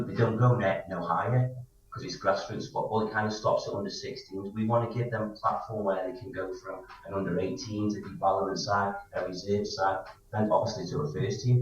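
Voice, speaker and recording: A man's voice: fairly low pitch, fairly quick. A man speaking as a football manager in a casual radio interview, emphatic and repeating his points. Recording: very echoey, distant, dull, faint noise.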